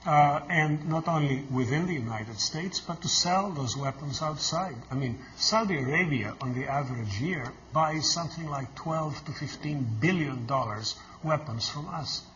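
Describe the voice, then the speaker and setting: A man's voice: a little high, moderate pace, few pauses, fairly bright tone, a little hiss or hum. An older man from Greece, a guest lecturer answering audience questions in a direct, emphatic, plain-spoken way. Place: lecture hall. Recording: slightly muffled lecture recording.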